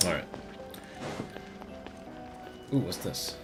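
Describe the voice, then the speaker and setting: A man livestreaming a game, casually commenting and reading on-screen text aloud with offhand asides, over game music. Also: very slow. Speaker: game streamer.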